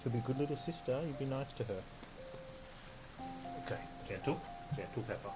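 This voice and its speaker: A man's voice: mid-range voice, expressive, fairly quick, low-quality recording. A man casually chatting and thinking aloud as a game streamer, with game music behind him. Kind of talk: casual live gameplay commentary.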